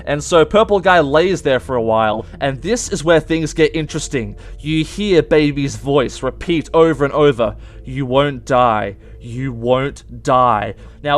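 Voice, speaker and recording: Higher-voiced man giving emphatic game commentary. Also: moderate pace, hardly any pauses. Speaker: video game theory host. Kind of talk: informal explanatory commentary.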